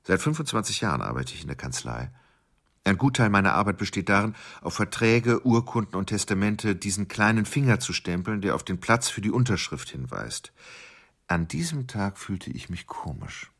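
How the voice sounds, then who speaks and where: An actor playing a brooding middle-aged clerk seething with murderous rage, in an intense radio drama monologue. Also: male voice, very animated, moderate pace, fairly bright tone, full character voice. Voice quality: low, gravelly, husky.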